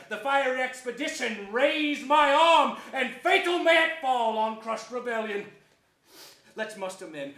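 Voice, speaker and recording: Scheming hunchbacked usurper, high voice, grand stage declamation, distant hissy recording. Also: male voice, pushed and bright, full character voice. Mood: triumphant, resolute. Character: scheming, gloating, villainous hunchbacked royal usurper. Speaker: stage actor. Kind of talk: theatrical Shakespearean stage declamation. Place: theater stage before an audience. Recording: distant mic in echoey theater, hiss.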